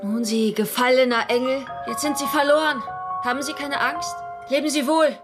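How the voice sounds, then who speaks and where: A woman's voice: high voice, fairly even intonation, normal speed, lightly acted. A woman playing a bold, mocking seductress in a radio drama, with a teasing, theatrical delivery. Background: music.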